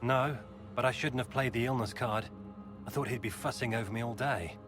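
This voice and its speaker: An actor playing an earnest, worried young nobleman in a video game, speaking in a measured way. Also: mid-range voice, music in the background, lightly acted. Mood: confiding, worried. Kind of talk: video game dialogue acting.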